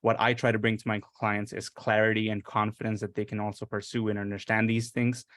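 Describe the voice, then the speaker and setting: A young man from India introducing himself on a panel, earnest and steady, with frequent fillers. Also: on the low side, brisk, no echo, studio quality. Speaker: panelist, business owner.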